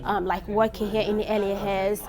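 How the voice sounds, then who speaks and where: A woman gives an informal interview answer at a staff event. Her pitch is middling and moves little. Clear crowd chatter surrounds her. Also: level tone, normal speed. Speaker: ambulance service staff member. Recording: busy crowd chatter around. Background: crowd at a staff celebration event.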